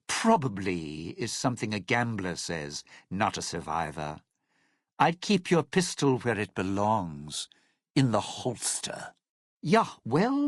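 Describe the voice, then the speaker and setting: An actor playing a cynical, threatening entertainer and sly survivor in a video game, talking with wry, smooth menace. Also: male voice, mid-range voice, no echo, clean studio sound, full character voice. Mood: wry, dismissive. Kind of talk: video game character dialogue.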